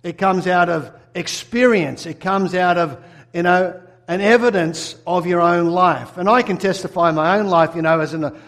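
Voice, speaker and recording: A man's voice: high voice, moderate pace, fairly bright tone. Australian man preaching a testimony sermon. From Sydney, Australia. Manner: earnest storytelling, asks the audience questions. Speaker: pastor.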